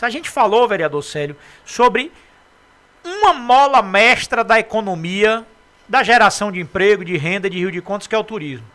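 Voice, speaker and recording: A man from Brazil hosting a local radio interview, chatty and lively as he asks questions. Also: high voice. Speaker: radio host.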